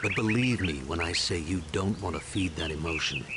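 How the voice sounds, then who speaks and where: An actor playing a laid-back veteran Jedi master in a video game, with a dry, sardonic, informal manner. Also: male voice, low-pitched, brisk, heavy background noise, lightly acted. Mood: stern, instructing. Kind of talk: scripted video game voice acting. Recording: music behind the voice.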